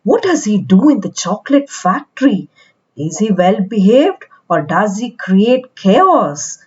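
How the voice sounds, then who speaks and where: Woman, children's read-aloud narrator, slow pace, lively swooping intonation, expressive, slightly dull lo-fi recording. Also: medium pitch. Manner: expressive, deliberate storytelling with dramatic questions. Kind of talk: scripted picture-book narration for young children.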